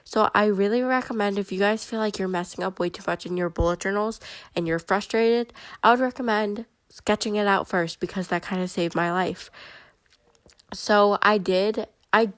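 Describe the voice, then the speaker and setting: Chatty woman w a stuffy cold, mid pitch, lots of likes and ums. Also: moderate pace, no echo. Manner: chatty and excitable, many fillers. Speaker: bullet journal vlogger. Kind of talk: casual voiceover narration.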